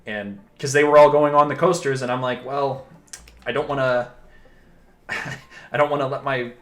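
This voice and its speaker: A young man chatting casually with a co-host while drawing, relaxed and laughing through his stories. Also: mid-range voice, normal speed. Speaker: streaming artist. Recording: faint music behind.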